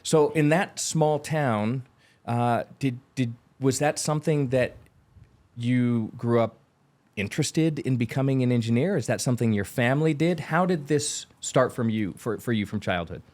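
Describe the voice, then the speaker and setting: A man's voice: medium pitch, normal speed, darkish tone. A man hosting a podcast, asking eager, wordy questions that often restart mid-sentence. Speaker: podcast host. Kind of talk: casual interview chat.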